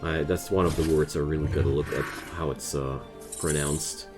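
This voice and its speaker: Very deep-voiced German man commentating gameplay. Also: steady tone, moderate pace. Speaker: gaming video creator. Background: music.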